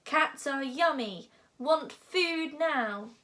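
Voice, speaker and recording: A woman's voice: sits high, expressive, drawn-out words, a bit bright, voice pushed up, exaggerated voice. A woman voicing a small, greedy, demanding male dragon in a storybook, speaking in blunt, baby-like broken phrases. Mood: wildly demanding. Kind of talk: storybook character voice.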